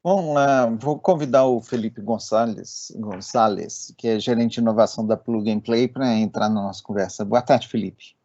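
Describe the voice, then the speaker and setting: A man's voice: on the low side, moderate pace, few pauses, warm tone, lo-fi sound. A Brazilian man acting as moderator of an online meetup, over call audio. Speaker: moderator, addressed as professor.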